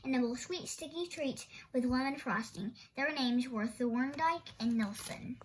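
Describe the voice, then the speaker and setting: A woman's voice: high voice, measured pace, slight background noise, low-quality recording. A woman storyteller reading a children's picture book aloud expressively.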